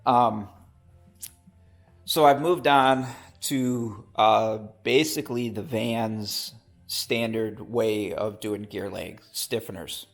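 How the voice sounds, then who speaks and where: Gravelly man with a cold. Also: on the low side, fairly even intonation, takes it slowly, soft background music. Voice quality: rough, gravelly. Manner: rambling, self-correcting, with hesitations. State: has a cold. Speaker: homebuilt aircraft builder. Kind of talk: casual workshop vlog. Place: home workshop.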